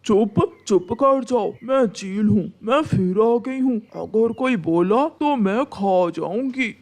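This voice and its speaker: A woman's voice: a little high, takes it slowly, a little mellow. A woman voicing a scheming male crow in a children's cartoon. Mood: menacing. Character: scheming male cartoon crow, hawker and fake menacing eagle.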